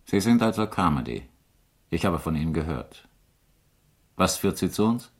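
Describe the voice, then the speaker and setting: An actor playing a shrewd, skeptical middle-aged insurance manager in a crime radio drama, calm and measured, with a husky voice. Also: male voice, low voice. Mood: cool, businesslike.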